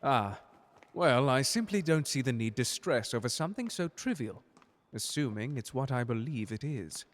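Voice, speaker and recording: A man playing a suave, unflappable butler in an audio roleplay drama, speaking in formal, genteel, precise language with quiet menace. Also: mid-range voice, big pitch swings, normal speed, close to an everyday voice. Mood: composed, urgent.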